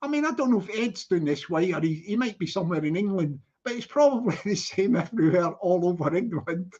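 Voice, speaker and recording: A man's voice: high voice, lively intonation, a little fast, a little mellow, husky, dull audio. A man with a Scottish accent hosting a casual livestream, greeting viewers by name in a sing-song way and chatting loosely with frequent laughs. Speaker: livestream host. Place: living in London.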